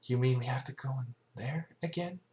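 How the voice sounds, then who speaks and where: A man's voice: medium pitch, moderate pace, dark tone, pitched above the performer's own voice, natural voice. A man voicing a timid, apologetic young female pegasus pony with a meek, hesitant manner, in a story reading. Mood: nervous.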